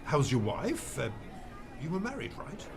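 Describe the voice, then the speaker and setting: A man's voice: fairly high pitch, wildly swooping pitch, unhurried, gravelly, noisy background, exaggerated voice. A man playing a breezy, dismissive businessman. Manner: breezy, dismissive, offhand laughs. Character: smug businessman running charities, dismissive. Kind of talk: amateur radio drama acting.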